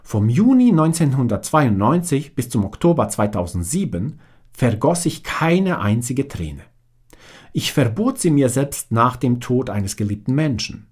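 Husky man with a strong Bosnian accent. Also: mid-range voice, sing-song, unhurried, a little mellow. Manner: strongly accented, direct and plain. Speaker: author reading his own book. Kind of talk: audiobook reading.

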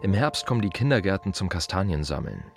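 An actor playing an aging, weary gravedigger who loves silence, musing in short, repeated fragments in a literary radio play monologue. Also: male voice, low voice, sing-song, fast talker, creaky, soft background music, heavily acted. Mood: quiet, matter-of-fact.

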